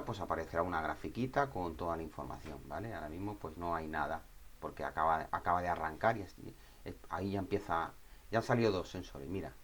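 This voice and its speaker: Guy, lowish voice, walking thru a tech tutorial on screen, repeats stuff, keeps checking you follow. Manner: explanatory, repeats himself, checks in with tag questions. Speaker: tutorial instructor. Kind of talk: informal screen-share software tutorial.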